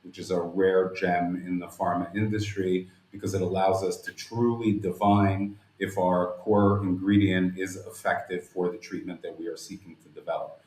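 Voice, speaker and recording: A man's voice: low-pitched, flat delivery, moderate pace, hardly any pauses, darkish tone, slight background noise. A man, a company director, explaining business plans in an interview with formal, elaborate wording in a roomy recording. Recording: roomy, echoing sound.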